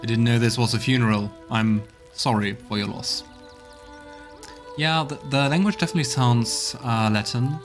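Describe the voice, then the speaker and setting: A man's voice: mid-range voice, moderate pace. A man doing casual let's play commentary, musing aloud in a laid-back way. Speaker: let's player. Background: game music.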